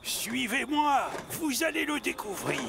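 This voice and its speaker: Gravelly, slow-talking pirate truck captain. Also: male voice, very high-pitched, big pitch swings, loud music behind the voice, exaggerated voice. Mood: conspiratorial. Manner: commanding, theatrical. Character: jolly pirate truck captain, playful prankster. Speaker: captain giving orders. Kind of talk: animated children's cartoon acting.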